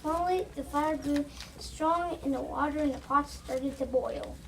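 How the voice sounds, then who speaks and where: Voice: high-pitched, normal speed, a bit bright. A child narrating a scripted story aloud as part of a reader's theater. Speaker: student narrator. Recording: distant mic, noisy room ambience.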